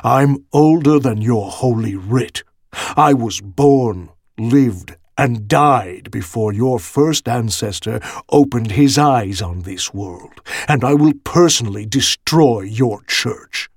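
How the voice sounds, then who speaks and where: A man's voice: medium pitch, slow, no room sound, studio quality. A man voicing a cold, vengeful, ancient undead executioner in an audiobook, speaking with emphatic, menacing condemnation. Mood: cold vengeful wrath. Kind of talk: dramatic audiobook character dialogue.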